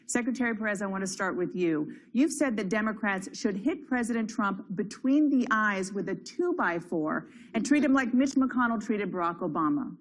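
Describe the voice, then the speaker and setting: A woman moderating a televised political debate, reading crisp scripted introductions and questions. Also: mid-range voice, lively intonation, normal speed, few pauses.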